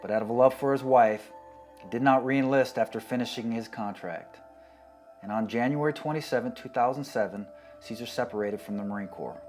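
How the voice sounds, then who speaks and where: A man narrating a documentary in a solemn, measured way, his voice dropping quietly at the end of sentences. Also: mid-range voice, soft background music. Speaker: documentary narrator, fellow Marine veteran.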